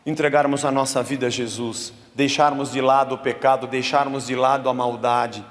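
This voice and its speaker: A man's voice: mid-range voice, little pitch movement, moderate pace. A Brazilian man, a pastor, delivering a conversational sermon in a church, mixing Scripture with casual slang. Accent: Brazilian Portuguese. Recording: long church reverb.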